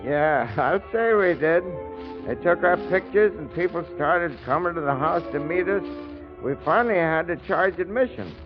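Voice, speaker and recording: Guy playing homespun blustery pop in a 40s radio comedy, high voice, muffled old recording. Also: animated, moderate pace, music in the background, lightly acted. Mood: chuckling, proud. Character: folksy excitable family father, amateur inventor and bakery foreman. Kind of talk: 1940s radio comedy acting.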